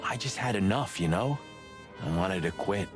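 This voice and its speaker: An actor playing a talkative, sardonic rooster cop sidekick in a film-noir adventure game. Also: male voice, lowish voice, lively intonation, moderate pace, over music, natural voice. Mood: confessional.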